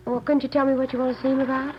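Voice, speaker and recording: A woman's voice: sits high, level tone, very fast, close to an everyday voice. An actress playing an anxious, protective seamstress in her thirties in a 1950s radio drama, with an American accent and a hesitant, pleading manner. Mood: worried, evasive. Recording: muffled, noisy vintage radio recording.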